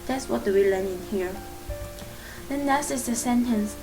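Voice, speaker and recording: A woman whose first language is Chinese, speaking English with a Chinese accent, giving a casual spoken lesson as a channel host, halting and searching for words with many fillers but encouraging and reassuring. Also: a little high, music in the background, rough recording.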